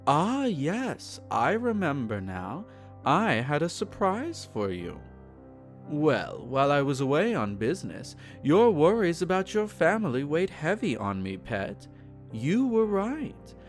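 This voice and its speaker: A possessive vampire lord , acted by a man, speaks intimately to the listener in a roleplay drama. He is formal and unhurried, doting with a condescending, menacing edge. His slightly high male voice rises and falls widely over soft music. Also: wildly swooping pitch, exaggerated voice. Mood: pensive. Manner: formal, measured, soothing and condescending.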